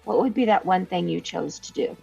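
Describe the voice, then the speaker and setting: A woman's voice: lowish voice, brisk, vocal fry, dark tone, slightly rough. A woman co-hosting a podcast, chatting playfully, reading out chat questions and laughing at herself. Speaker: podcast co-host. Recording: muffled lo-fi stream audio with faint music.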